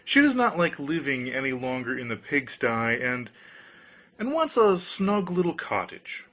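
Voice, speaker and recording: A man's voice: on the high side, very animated, a little slow, fairly bright tone, full character voice. A man voicing a meek, reluctant poor fisherman in a storybook, hesitant and doleful. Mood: apologetic.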